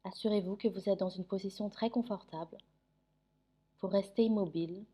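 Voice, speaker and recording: Very mellow woman guiding sleep meditation. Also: medium pitch, breathy edge. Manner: soothing, gentle instruction with long pauses. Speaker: yoga nidra meditation guide.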